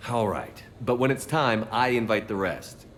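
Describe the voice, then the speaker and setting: A man playing a gruff, steady, heroic military commander in a video game, speaking tersely with firm authority. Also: mid-range voice, a little slow, slight background noise, close to an everyday voice. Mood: easygoing. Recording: loud music behind the voice.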